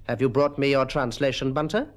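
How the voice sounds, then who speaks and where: A man's voice: medium pitch, fairly even intonation, brisk, natural voice. A British man voicing a grim, formal schoolmaster in a storybook, stern and pompous in his delivery. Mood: grim, suspicious. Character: grim, stern male schoolmaster, severe and indignant. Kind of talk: character voice in a storybook reading.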